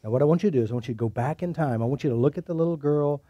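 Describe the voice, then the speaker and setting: A man's voice: a little high, racing, dry recording, dull audio. A middle-aged man leading a seminar, coaching a volunteer in front of a group. He jokes, repeats words and gives direct instructions. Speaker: seminar leader. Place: room at a live seminar.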